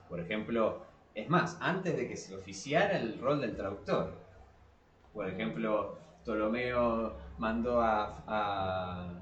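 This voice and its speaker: A man's voice: lowish voice, dull audio. A man with an Argentine Spanish accent hosting a casual podcast debate, explaining informally in a rambling, self-correcting way. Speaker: podcast host. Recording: echoey, reverberant room.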